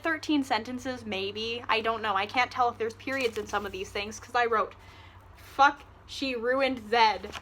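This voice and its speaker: A woman's voice: on the high side, bright, forceful tone. Sarcastic young woman ranting about a book. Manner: exasperated, sarcastic, swears freely. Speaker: book reviewer. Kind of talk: casual rant to camera.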